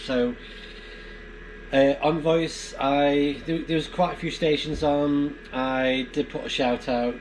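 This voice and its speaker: A man's voice: on the high side, steady tone, slow, darkish tone, rough recording. A man giving a casual, rambling vlog explanation, hesitant and thinking aloud as he goes. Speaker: amateur radio vlogger. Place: inside a barn. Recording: clear background noise in barn.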